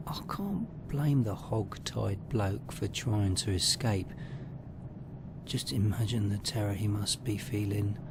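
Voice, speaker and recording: Slow, ghoulish male horror host played by an actor. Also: fairly low pitch, noisy background. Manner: theatrical, savouring each word. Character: ghoulish male horror narrator relishing the gore. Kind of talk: scripted horror narration.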